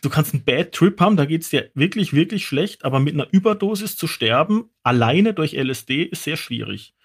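Slightly husky man telling casual anecdotes. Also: fairly high pitch, expressive, brisk. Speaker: toxicologist guest.

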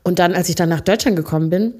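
Brief: woman from Rwanda, podcast co-host, relaxed storytelling, low-ish voice. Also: fast talker. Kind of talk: relaxed bedtime chat.

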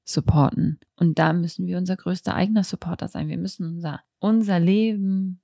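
A woman's voice: lowish voice, fairly even intonation, fairly quick, gravelly, mellow, no echo, clean studio sound. A thirty-year-old woman hosting a podcast, speaking in a reflective, candid, unscripted personal monologue. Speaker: podcast host. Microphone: handheld microphone, close.

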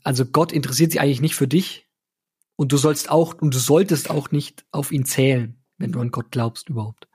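A man's voice: medium pitch, fairly quick, a little mellow, husky. A man chatting casually as co-host of a podcast. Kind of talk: casual podcast chat, reading out own lyrics.

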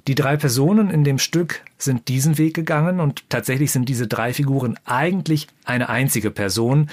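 A man leading an interview in German, speaking in a measured, informative way. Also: medium pitch. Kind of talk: interview conversation.

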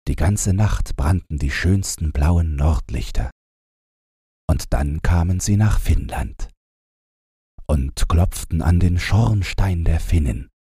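A man's voice: very deep voice, mellow, breathy edge, dry recording, clean studio sound. A man reading a fairytale as a scripted bedtime audiobook narration.

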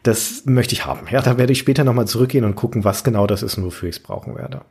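Husky, gravelly man explaining games. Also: mid-range voice, fast, a little mellow. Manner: articulate, explanatory, long structured sentences. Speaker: podcast host. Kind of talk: analytical video game discussion.

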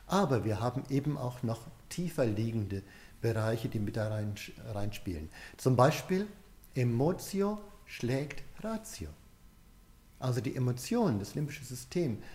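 A man's voice: medium pitch, dark tone. A man giving an explanatory coaching lecture in an informal, conversational way, addressing the listener directly.

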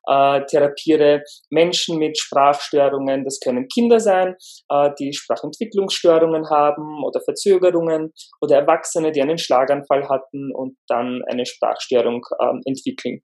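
A man from Lower Austria, a speech therapist talking as a podcast guest, pausing often with fillers; his is Turkish. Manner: hesitant, many fillers, relaxed. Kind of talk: conversational interview.

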